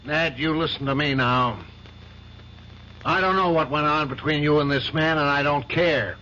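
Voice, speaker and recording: A man's voice: fairly high pitch, moderate pace, sharp tone. An actor playing a stern, commanding older man who runs a law firm, in a 1970s radio drama. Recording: dull lo-fi old radio broadcast with faint hiss.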